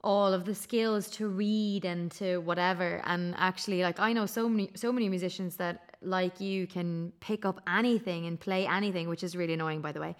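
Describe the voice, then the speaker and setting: A woman's voice: fairly low pitch, fairly even intonation, normal speed, few pauses. Enthusiastic woman co-hosting a podcast. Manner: enthusiastic, chatty with frequent "like". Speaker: podcast co-host. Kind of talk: casual podcast interview.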